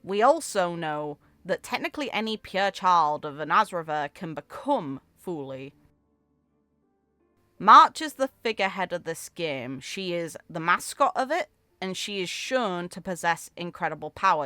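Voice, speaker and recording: A woman giving casual, expressive reaction commentary as a gaming video creator, with informal interjections. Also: lowish voice, no echo. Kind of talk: casual reaction commentary, with dramatic reading aloud.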